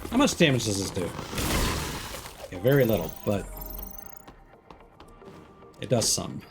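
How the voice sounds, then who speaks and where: A man's voice: fairly low pitch, very animated, unhurried. A man streaming a video game with casual, musing and mildly critical live commentary. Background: video game music.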